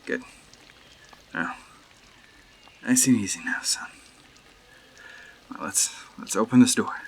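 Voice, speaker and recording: A man's voice: fairly low pitch, animated, slow pace, gravelly, slight background noise, full character voice. A man acting in an audio drama as a protective rescuer, carefully coaxing frightened children. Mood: reassuring, tense. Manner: reassuring, careful.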